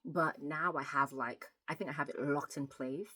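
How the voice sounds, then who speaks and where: A woman's voice: low voice, steady tone, normal speed, pushed and bright, slightly rough. A woman chatting casually as a book vlogger, talking emphatically and repeating words to stress them. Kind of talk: casual chatty vlog about writing.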